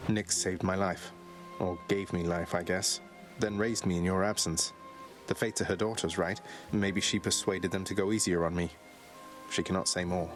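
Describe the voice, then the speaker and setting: A man playing a defiant but courteous young prince in a video game, speaking in a measured, wry way. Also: deep voice, steady tone, faint music behind, lightly acted. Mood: earnest, explaining. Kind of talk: dramatic video game acting.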